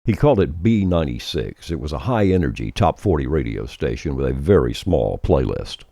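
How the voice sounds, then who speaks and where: Older male radio veteran, crackly and expressive, dry close sound, emphatic folksy explainer. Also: very deep voice, a little fast, no room sound. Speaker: veteran radio programmer and former disc jockey presenting a series. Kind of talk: informal instructional monologue.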